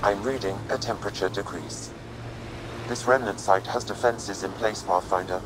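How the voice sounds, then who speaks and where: An actor voicing a calm, precise artificial intelligence in a video game, reporting in a measured tone. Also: male voice, lowish voice, noisy background, lightly acted. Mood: neutral.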